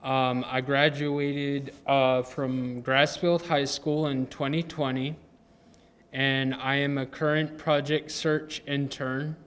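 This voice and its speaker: A twenty-year-old man introducing himself as a program intern, earnest and pausing with ums and self-corrections. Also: mid-range voice, level tone, a bit bright, smooth.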